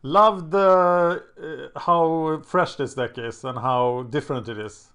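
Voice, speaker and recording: A man's voice: on the high side, steady tone, slow pace, fairly bright tone, clean tone. A man with a Swedish accent, a native Swedish speaker, thinking aloud and chatting as he commentates his own card game.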